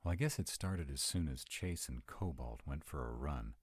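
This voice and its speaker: A man's voice: very deep voice, animated, normal speed, breathy edge, no room sound, studio quality, exaggerated voice. A man playing an earnest, dutiful young vampire in a roleplay audio drama, speaking hesitantly and protectively. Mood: uneasy, recounting.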